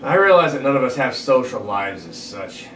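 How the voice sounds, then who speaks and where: A man's voice: medium pitch, big pitch swings, normal speed, lightly acted. A man reading the part of a grumpy, sarcastic man in a dry, exasperated way at a comedy table read. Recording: roomy, distant mic, noisy room.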